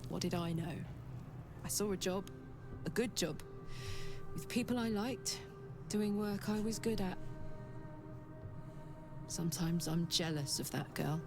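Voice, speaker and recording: A woman's voice: fairly low pitch, unhurried, pauses often, over music. An actress playing a brash, hearty, streetwise warrior woman in a video game, telling things in frank, punchy, colloquial phrases. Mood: wistful. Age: 30s. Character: brash, hearty thirty-year-old female warrior, streetwise and candid.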